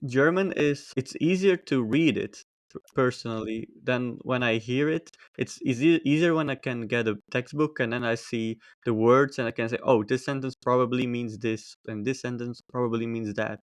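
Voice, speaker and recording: A man's voice: medium pitch, normal speed, no echo. Flemish man, dry online interview. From Belgium. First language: Dutch. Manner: thoughtful, with frequent restarts and light laughs. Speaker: podcast guest. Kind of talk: casual interview chat. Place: home, online call. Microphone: video call.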